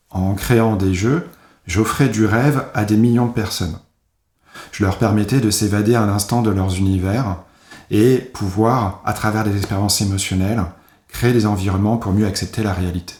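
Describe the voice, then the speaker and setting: A man in his forties speaks in a low voice, measured and reflective as he tells a story in a conference talk. He sounds like he is on a video call, and the sound is roomy. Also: a little mellow. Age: 40s. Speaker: conference speaker, agile coach. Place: home office, video call.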